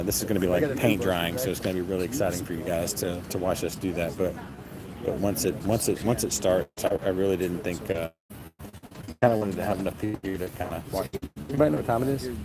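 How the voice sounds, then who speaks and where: A low-pitched man talks loosely into his phone while standing in a long outdoor queue, stumbling through restarts and fillers and poking fun at himself. A dense crowd chatters around him the whole time. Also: fairly quick, pauses often, low-quality recording. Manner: rambling, self-deprecating, frequent fillers and restarts. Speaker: vlogger streaming live. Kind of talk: casual live stream commentary. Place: outdoors in a long festival queue near traffic.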